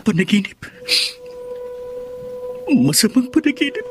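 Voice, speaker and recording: A man's voice: extremely high pitch, big pitch swings, a little slow, background noise, heavily acted. An actor playing a troubled young man haunted by nightmares, frightened and pleading in a radio drama.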